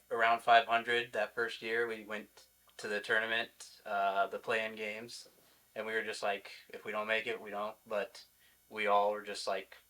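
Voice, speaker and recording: Young American male college athlete, podcast guest. Monotone, forward bright tone, informal and halting. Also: fairly low pitch, poor audio. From Iowa, United States. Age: young adult. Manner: informal, hesitant, peppered with "like". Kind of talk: casual interview answers.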